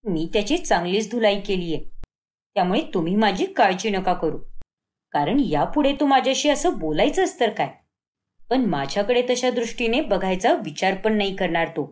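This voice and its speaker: A woman acts a bold middle-aged modern woman in a cartoon moral story. She is brash, quick to anger and sure of herself, a little lower than the narration with animated swings. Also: close to an everyday voice. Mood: self-assured. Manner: brash, self-assured.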